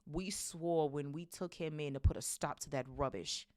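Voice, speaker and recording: A woman's voice: low-pitched, normal speed, darkish tone, dry recording, clean studio sound, lightly acted. Woman voicing a stern, indignant uncle. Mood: angry. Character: angry, domineering middle-aged uncle.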